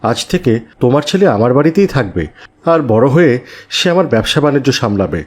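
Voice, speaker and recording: A man voicing a kindly, wealthy, fatherly businessman in a children's moral cartoon, gentle and sympathetic. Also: medium pitch, big pitch swings, quick pace. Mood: generous, reassuring.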